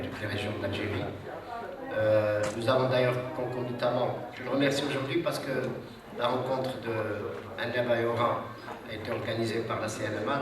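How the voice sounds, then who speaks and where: A man with a cold giving a formal, hesitant speech to an audience at a seminar, with many fillers and restarts. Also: medium pitch. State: has a cold. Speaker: social security official speaking at a seminar. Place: large hall with audience. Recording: echoey hall, distant mic, audience noise.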